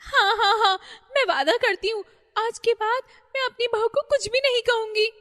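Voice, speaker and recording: A woman's voice: moderate pace, long reverb tail. A woman voicing a fretful older mother-in-law who panics easily, in a cartoon story. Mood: panicked. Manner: shrill, panicky.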